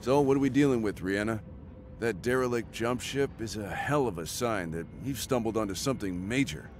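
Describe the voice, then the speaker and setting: An actor voicing a determined, driven mercenary commander in a video game, speaking earnestly and decisively. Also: male voice, fairly low pitch, fairly quick, a little hiss or hum, close to an everyday voice. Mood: businesslike.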